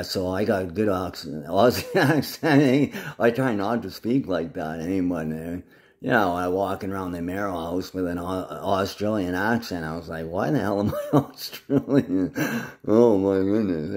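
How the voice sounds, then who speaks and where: A man's voice: deep voice, unhurried, darkish tone. A man talking casually to the camera in a rambling, agitated monologue full of swearing.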